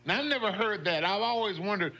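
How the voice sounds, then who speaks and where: A man's voice: high-pitched, fast talker, creaky, sharp tone. A man hosting a morning radio show, chatting in a folksy way and laughing often. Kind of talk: casual radio chat. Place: radio studio. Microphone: studio microphone.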